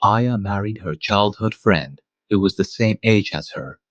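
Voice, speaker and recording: A man playing a mild, wistful adult guardian, narrating a manga dub in a measured, reflective way. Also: on the low side, expressive, no room sound, highs cut off. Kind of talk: scripted first-person manga dub narration.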